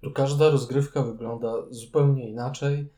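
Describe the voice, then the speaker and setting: A man discusses board games casually as a co-host. His mid-pitched voice is soft-edged and mellow. The room adds a clear echo. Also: steady tone, normal speed. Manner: conversational, adding comments. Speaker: podcast co-host.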